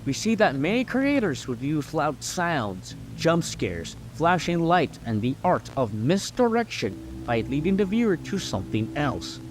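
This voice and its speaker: A man's voice: a little high, very animated, measured pace, few pauses, slight background noise, poor audio. A man narrating a scripted video essay, explaining his points earnestly and emphatically with an occasional stumble.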